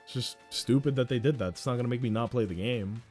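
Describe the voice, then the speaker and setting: Young man, loud voice, rambling and profane, casual game stream, mid-pitched. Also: fast talker, soft background music. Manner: rambling, profane, self-mocking. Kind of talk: casual live-stream chat while gaming.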